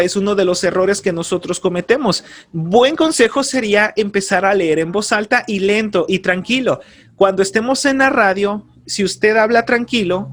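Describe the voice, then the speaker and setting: A man teaching a lesson on radio announcing, speaking earnestly and calmly, giving advice straight to his listeners and repeating key terms. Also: sits high. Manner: earnest, advisory, addresses listeners directly. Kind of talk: spoken course lesson.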